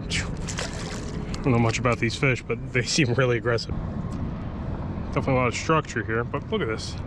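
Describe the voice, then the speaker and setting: A man's voice: medium pitch, steady tone, moderate pace. A man giving casual running commentary while fishing from a kayak on open water, thinking aloud about his catch. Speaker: fishing vlogger. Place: kayak on open sea, outdoors. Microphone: action camera mounted on kayak. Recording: outdoor action-cam audio with wind and water noise. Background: wind and water noise.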